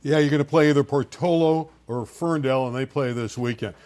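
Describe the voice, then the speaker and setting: A man's voice: medium pitch, fairly quick, darkish tone. A man hosting a sports talk show, asking guests questions in an upbeat way.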